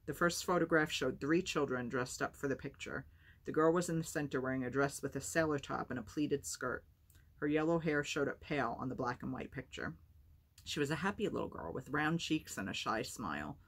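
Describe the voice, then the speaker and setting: A woman with a low, husky voice hosting a storytime and narrating a book. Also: fairly quick. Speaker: storytime reader and host.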